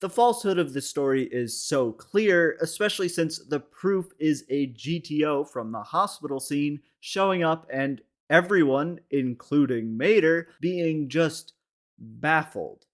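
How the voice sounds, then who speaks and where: A man with a slightly high voice delivers comedic video essay commentary. He sounds wry and mock-serious, dropping exasperated asides as he narrates. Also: expressive, a little slow.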